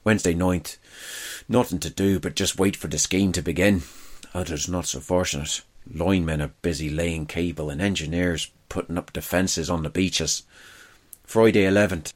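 A man reading a first-person wartime diary aloud as scripted narration. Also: sits low.

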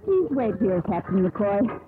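An actress playing a spirited, proud young sea captain's daughter in a theatrical 1950s radio drama style. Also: female voice, mid-range voice, sing-song, a little slow, music in the background. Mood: poised, playful. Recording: old radio broadcast, narrow band, noisy.

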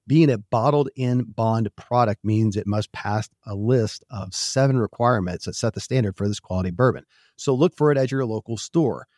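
A man's voice: lowish voice, normal speed, darkish tone, no room sound, clean studio sound. A man from Kentucky reading a scripted first-person advertisement. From Kentucky, United States. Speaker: ad reader.

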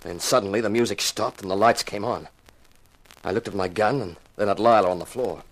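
An actor playing a hard-boiled, cool-headed investigator who also narrates a 1950s radio mystery in a wry, matter-of-fact way. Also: male voice, on the low side, brisk, a little hiss or hum, lightly acted, poor audio. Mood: tense, narrating. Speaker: narrator and lead.

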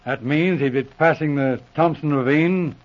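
An actor playing a confident, authoritative Mountie sergeant in a 1940s radio drama. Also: male voice, fairly high pitch, lightly acted. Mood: assured. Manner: authoritative, brisk, assured. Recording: muffled old radio recording with hiss.

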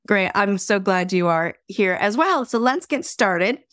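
A woman's voice: medium pitch, lively intonation, measured pace, fairly bright tone, no room sound, clean studio sound. A woman hosting a podcast, reading her intro and asking a guest questions in a friendly way. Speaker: podcast host. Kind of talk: scripted intro, then interview.

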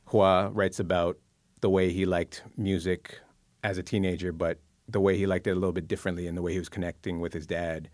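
A man, a book columnist and psychotherapist, talks through his thoughts in a reflective interview, musing with pauses and fillers. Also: deep voice, level tone, a little mellow, dry recording.

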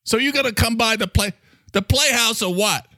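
A man's voice: extremely high pitch, normal speed, sharp tone, no echo, clean studio sound, pitched above the performer's own voice. A man voicing a touchy, foul-mouthed talking coffee maker with a New York accent, blustery and defensive. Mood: blustery, pushy. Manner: blustery, defensive, swearing, badgering with questions.

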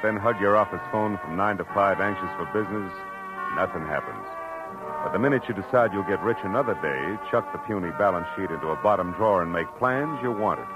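An actor playing a wry, tough private detective in a 1940s radio drama, terse and clipped. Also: male voice, on the low side, lightly acted. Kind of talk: 1940s radio acting, first-person noir narration. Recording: dull old radio recording, music behind.

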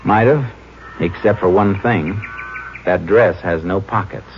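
An actor playing a skeptical, steady Texas Ranger with an American accent, measured and laconic, in a 1950s radio crime drama. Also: male voice, low voice, loud music behind the voice. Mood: doubtful. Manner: measured, laconic, matter-of-fact. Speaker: actor playing the lead and narrating. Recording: muffled old radio recording, heavy noise.

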